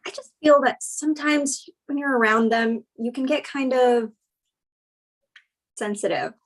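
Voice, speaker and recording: A woman playing a frank twenty-seven-year-old girlfriend in a screenplay table read, speaking in a dry, deadpan way. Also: sits high, unhurried, low-quality recording. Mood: wry, careful.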